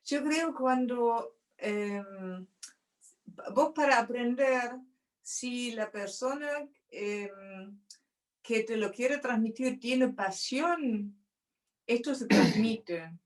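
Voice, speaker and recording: A woman's voice: fairly high pitch, drawn-out words, low-quality recording. A woman with an Argentine accent, a guest telling stories in a casual chat and laughing often. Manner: lively storyteller, laughs often. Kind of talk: casual conversation.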